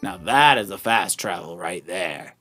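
Animated man coaching through a video game. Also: sits low, unhurried. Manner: encouraging, instructive. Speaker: co-host of a family Let's Play, the dad. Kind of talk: casual gameplay commentary, coaching a child player. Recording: game music behind the voice. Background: video game music.

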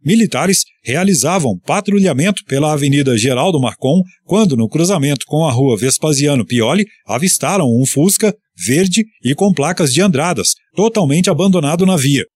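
A man from Brazil reads the police news bulletin on local radio. His voice is husky and a little high for a man, and his delivery stays steady and formal throughout.

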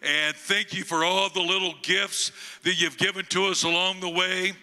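An older man in his sixties from the United States, a guest pastor with a booming voice, preaching and praying slowly into a handheld microphone on a church stage. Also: little pitch movement, sharp tone. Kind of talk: sermon and prayer. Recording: long hall reverb, faint music behind.

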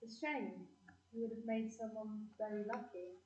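A woman's voice: on the high side, steady tone, moderate pace, a little mellow, slight background noise, natural voice. A woman with a British accent playing a wistful, teasing old sweetheart in an amateur audio play. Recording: echoey room, distant muffled mic.

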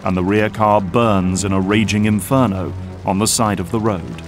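A man with a lowish voice narrates an action audio drama. His scripted storytelling runs over prominent music. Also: moderate pace.

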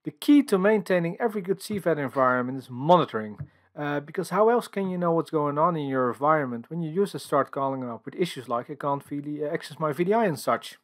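A man's voice: a little high, lively intonation, fast. A man from the Netherlands with a Dutch accent, giving a technical conference presentation over slides in an explanatory way, with many filler sounds. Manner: explanatory, filler-heavy with frequent uhs. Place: voice-over for screen recording and slides.

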